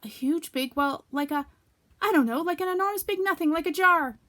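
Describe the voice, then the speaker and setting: A woman's voice: very high-pitched, brisk, sharp tone, voice pushed up. A woman voicing a small, timid male piglet in a storybook reading, breathless and stammering with fright.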